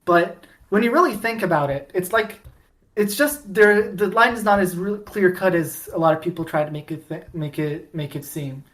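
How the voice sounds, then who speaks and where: A woman with a fairly low voice co-hosts a casual fan podcast. She rambles eagerly, trips over her words and restarts her sentences often. She pleads and gushes when something excites her. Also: moderate pace. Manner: rambling, lots of restarts and fillers; enthusiastic and pleading. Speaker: podcast co-host. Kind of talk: casual fandom chat.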